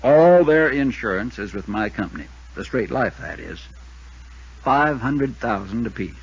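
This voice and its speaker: A dull-sounding vintage radio recording carries a good-natured male American small-town insurance man. His voice is mid-pitched and a bit raspy, and he spins out explanations in a folksy, long-winded way typical of a 1950s radio play character. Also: animated, unhurried, exaggerated voice. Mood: earnest. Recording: muffled, lo-fi old radio recording.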